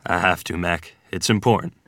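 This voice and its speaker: A man acting an earnest, awkward rural Missouri ferryman groom from the 1920s at a screenplay table read, plain-spoken and halting. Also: low voice, steady tone, fairly bright tone, dry recording, studio quality. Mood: urgent.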